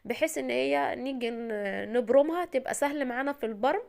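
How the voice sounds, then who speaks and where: Fast-talking Egyptian woman with a cold. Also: high voice, gravelly, darkish tone. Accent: Egyptian Arabic. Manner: chatty, reassuring, addresses the viewer directly. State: tired voice from a cold. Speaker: home cooking video host. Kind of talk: casual step-by-step cooking tutorial.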